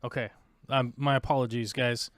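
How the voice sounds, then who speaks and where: Man, American, casual live-stream host, rambling, smooth voice, dry close sound. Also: mid-range voice, level tone, normal speed, no room sound. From United States. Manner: rambling, joking, many fillers.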